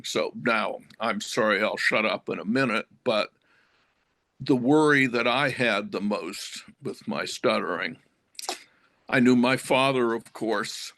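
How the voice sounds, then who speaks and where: An older man shares a personal story with a group, taking his time and apologizing for talking long. His delivery is very slow and unhurried, and the sound is dry and close. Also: mid-range voice, fairly bright tone, no echo. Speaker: retired professor who stutters.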